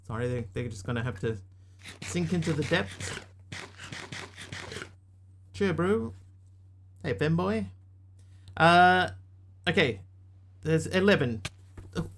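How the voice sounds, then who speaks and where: A man's voice: a little high, expressive, slow pace, long pauses, fairly bright tone. A man from New Zealand with a New Zealand accent, streaming a game live and chatting casually with his viewers as he reads out their messages. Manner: chatty, reads out chat and answers it. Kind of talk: casual live gaming chat.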